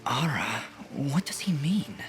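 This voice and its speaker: A man's voice: mid-range voice, big pitch swings, slow. A man voicing an earnest, determined young warrior in a video game, with a direct way of talking. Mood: puzzled. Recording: music and rain behind.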